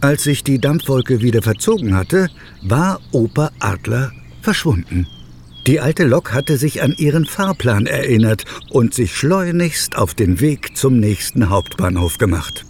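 Mid-pitched male storybook narrator. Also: very animated, measured pace, a little mellow, a little hiss or hum. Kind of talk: scripted children's story narration.

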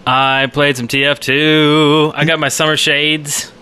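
A man's voice: fairly high pitch, level tone, unhurried, pushed and bright, clear, resonant tone. A man co-hosting a podcast, chatting casually about games in a rambling, enthusiastic way. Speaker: podcast co-host. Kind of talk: casual gaming chat.

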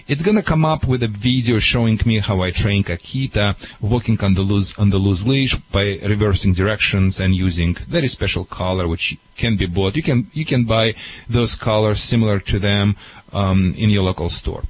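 A man's voice: lowish voice, normal speed, slight background noise, poor audio. A man giving dog training advice to a radio caller, in broken, emphatic English.

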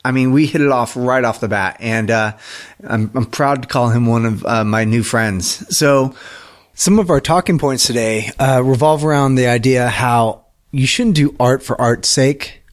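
A man's voice: medium pitch, moderate pace. A man hosting a podcast, speaking conversationally as he introduces the show and his guest. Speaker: podcast host.

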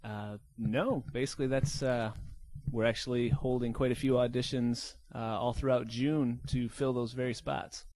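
A man in his twenties from Michigan, a band's guitarist, answering interview questions in a relaxed, hesitant way. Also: medium pitch, moderate pace, hardly any pauses. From Michigan, USA. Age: 20s. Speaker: band guitarist, guest. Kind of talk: casual interview.

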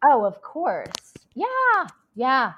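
A woman's voice: high-pitched, wildly swooping pitch, extremely slow, slightly rough, dull audio. A woman actress chatting as an interview guest, talking freely with many fillers and restarts. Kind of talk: casual interview chat.

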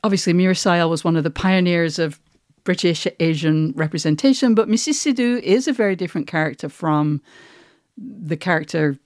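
A woman's voice: fairly low pitch, normal speed, a little mellow, no echo. Female interview host, considered and articulate delivery, tight dry recording.

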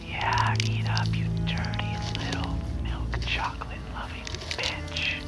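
A woman's voice: slow pace, whispered, over loud music, lightly acted. An actress playing a frantic, foul-mouthed young woman filming a monster hunt in an audio drama, with urgent, breathless delivery. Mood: hushed excitement. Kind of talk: naturalistic audio drama acting.

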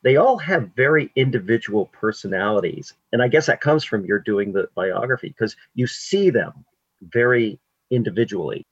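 Husky man on slightly muffled audio. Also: fairly low pitch, expressive, moderate pace, no room sound. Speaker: interviewer. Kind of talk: conversational interview commentary.

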